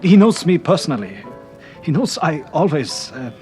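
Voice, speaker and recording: A man playing a deferential, nervous young cafe owner in a radio drama, halting and apologetic. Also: fairly high pitch, sing-song, darkish tone, soft background music. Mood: anxious, apologetic. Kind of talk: British radio serial acting.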